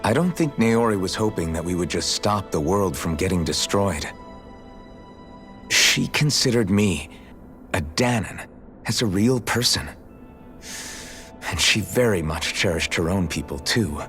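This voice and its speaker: An earnest young hero in a game story. The voice is notably deep, with plain-spoken, sincere delivery. There is some music underneath. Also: male voice, animated, measured pace, pauses often, a bit bright. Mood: earnest, hopeful. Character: earnest, idealistic young male hero warrior. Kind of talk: dramatic video game cutscene acting. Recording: music playing behind the voice.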